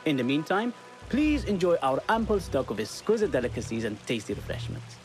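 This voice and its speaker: An actor playing a courteous attendant making a formal, florid announcement in a video game. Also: male voice, a little high, very animated, brisk, darkish tone. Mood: polite, ceremonious. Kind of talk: dramatic video game dialogue. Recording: music behind the voice.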